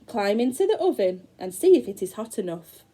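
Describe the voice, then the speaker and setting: A woman's voice: mid-range voice, very animated, fairly quick, warm tone. A woman voicing a greedy, bossy old witch in a children's storybook read-aloud, barking orders.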